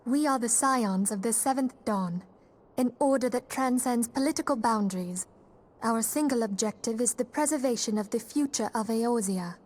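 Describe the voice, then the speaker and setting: High-voiced, earnest young leader, played by a woman. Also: moderate pace, a little mellow, natural voice. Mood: earnest, composed. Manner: sincere, poised, formal speech. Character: earnest, kindly young female leader of secret order. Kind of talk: fantasy video game voice acting.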